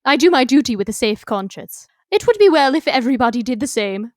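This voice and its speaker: A woman's voice: high voice, big pitch swings, fast, dry recording. A woman playing a hard, indifferent old hired prison nurse in a literary audiobook, brusque and self-righteous. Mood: callous, brusque. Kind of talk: dramatized literary audiobook acting.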